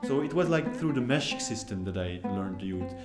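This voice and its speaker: A man's voice: fairly low pitch, lively intonation, normal speed. A man in his thirties whose first language is Dutch, speaking thoughtfully in a documentary interview and searching for words as he goes. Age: 30s. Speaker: oud player. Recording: music playing behind.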